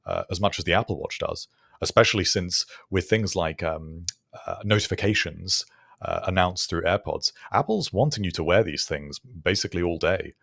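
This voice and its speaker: A man co-hosting a podcast, thinking aloud in a measured, casual, analytical way. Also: low voice, vocal fry, a bit bright, dry recording, studio quality. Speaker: podcast co-host.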